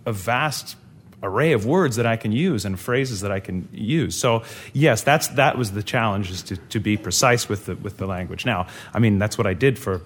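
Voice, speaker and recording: A male opera singer talking animatedly in a panel discussion, laughing and restarting sentences. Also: mid-range voice.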